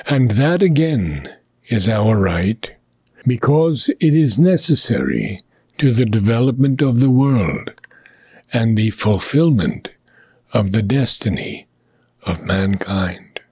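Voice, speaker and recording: Slow, husky-voiced man reading philosophy. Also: lowish voice, sing-song, warm tone, no echo. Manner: measured phrasing with long pauses between short phrases. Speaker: reader of a philosophy book. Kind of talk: slow, deliberate reading aloud.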